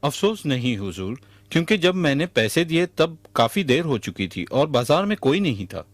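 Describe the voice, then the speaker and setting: A man's voice: fairly high pitch, very animated, normal speed, faint music behind. A man playing an earnest, good-natured butter merchant in a Hindi cartoon. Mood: earnest, pleading. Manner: earnest, respectful.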